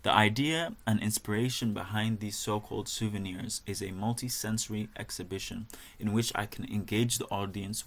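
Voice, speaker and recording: A young man with a fairly low voice walks through his art projects like a student presentation. He speaks in a measured, careful way, sometimes pausing on a filler or restarting a phrase. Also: fairly bright tone. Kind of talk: reading a presentation.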